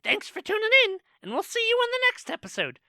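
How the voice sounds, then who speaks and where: A woman's voice: very high-pitched, fast, vocal fry, dry recording, heavily acted. A woman voicing a cheeky, opinionated male cartoon monkey in a fan audio drama, chatty and sassy. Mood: earnest, pleading. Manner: animated, sassy. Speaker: co-host of a fan question show. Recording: dry voice over background music.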